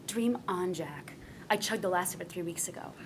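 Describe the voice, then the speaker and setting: A woman's voice: on the low side, moderate pace, a little hiss or hum, lightly acted. A woman playing a vain, haughty, glamorous young wife in a comedy script read. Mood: bored, snippy.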